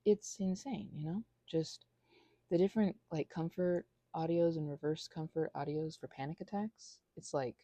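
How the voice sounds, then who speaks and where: A woman in her thirties giving a rambling, confessional ASMR monologue in a halting, earnest, self-deprecating way, with a husky, creaky voice. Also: sits low, mellow, dry recording. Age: 30s. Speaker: ASMR channel creator.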